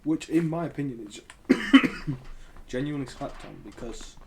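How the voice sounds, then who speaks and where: A man's voice: on the high side, animated, a little slow, noisy background. A man losing his voice and coughing, giving casual, rambling gameplay commentary as a gaming channel host. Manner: rambling, hesitant, thinks aloud.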